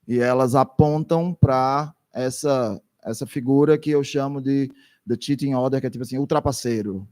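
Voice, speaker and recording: A man's voice: medium pitch, moderate pace, darkish tone. A man doing consecutive interpretation for a lecture, speaking hesitantly with frequent restarts.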